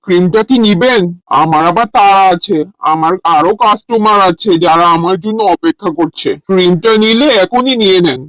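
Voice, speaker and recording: A performer playing a pushy, smooth-talking male cream hawker in a cartoon, with persuasive, sing-song vendor patter. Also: medium pitch, normal speed, a bit bright, no echo, lightly acted, low-quality recording. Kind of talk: cartoon dialogue.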